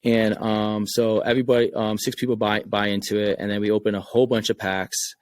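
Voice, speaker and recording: A man's voice: fairly low pitch, little pitch movement, fairly quick, a little mellow. A man with a Rhode Island accent, a game creator talking casually and earnestly on a podcast, often repeating words as he thinks. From Rhode Island, USA.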